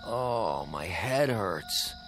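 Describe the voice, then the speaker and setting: Drunk, grumbling husband, very low voice. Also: male voice, animated, extremely slow, a bit bright, soft background music, exaggerated voice. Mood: grumpy, sick. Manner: grumbling, curt, sarcastic. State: drunk and queasy. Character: drunk, irritable young husband from New York, queasy. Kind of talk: radio drama acting.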